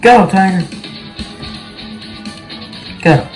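Casting: young male game streamer, laid-back and slangy, slow delivery, faint music underneath. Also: high-pitched. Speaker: gaming video host. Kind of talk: casual gameplay commentary, reading cutscene dialogue aloud. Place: small bedroom, indoors.

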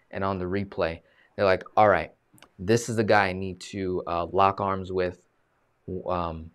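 A man in his thirties hosting a live video-call interview, animated and full of fillers, speaking from a small room. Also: low-pitched. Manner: animated, gestures a lot, many fillers. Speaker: host. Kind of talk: casual live-stream interview. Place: small room, plain green wall. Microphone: video call webcam.

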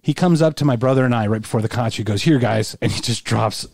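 A man's voice: mid-range voice, quick pace, creaky, a little mellow, dry recording, studio quality. A man hosting a podcast, chatting casually and telling stories in a playful, joking way. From Philadelphia area. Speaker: podcast host.

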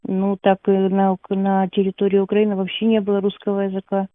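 A woman's voice: medium pitch, monotone, fairly quick, no echo. Woman on a tinny phone line. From Donetsk, eastern Ukraine. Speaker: caller, schoolteacher of Russian. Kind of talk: unscripted phone conversation. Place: phone call.

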